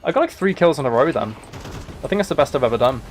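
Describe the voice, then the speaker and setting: A man's voice: mid-range voice, animated, fast. A man live-streaming video games, chatting casually to his viewers and thinking aloud as he plays.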